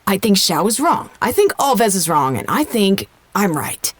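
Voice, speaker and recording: Husky, agitated scientist, swinging pitch. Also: female voice, very animated, moderate pace. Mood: agitated, defiant. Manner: casual, sweary, talks to herself. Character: agitated, defensive woman scientist, swearing and self-doubting. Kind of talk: audio drama acting.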